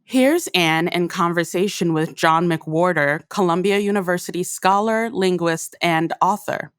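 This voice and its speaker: Low-pitched woman narrator, dry studio sound. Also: measured pace, smooth, dry recording. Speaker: host and narrator. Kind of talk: scripted narration.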